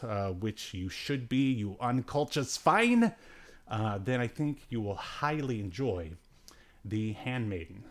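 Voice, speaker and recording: A man hosting a podcast with casual, rambling review chat, hesitant and given to dry, joking asides. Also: mid-range voice. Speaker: podcast host.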